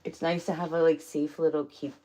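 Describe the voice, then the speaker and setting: A woman's voice: deep voice, little pitch movement, fairly quick, warm tone, a little hiss or hum, rough recording. A woman casually chatting on a live stream from her home, rambling with many fillers. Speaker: livestream host. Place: home room.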